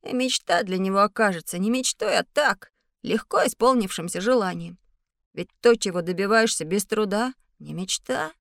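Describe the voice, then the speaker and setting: A woman voicing an earnest, curious young schoolboy in an educational audio drama, speaking in a thoughtful, clear way. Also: mid-range voice, moderate pace, no echo, clean studio sound, close to an everyday voice. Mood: earnest, hushed.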